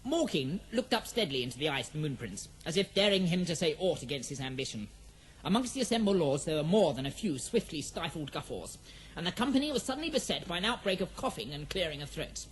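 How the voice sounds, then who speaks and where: A man's voice: a little high, very animated, fast talker, slight background noise, rough recording. A man playing the storyteller of an epic fantasy audio drama, giving formal, dramatic scripted narration. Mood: dramatic, measured.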